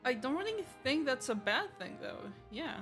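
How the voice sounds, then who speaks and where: A woman's voice: sits high, sing-song, moderate pace, music in the background. A woman livestreaming a game, giving casual, chatty commentary in an offhand manner. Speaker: game streamer.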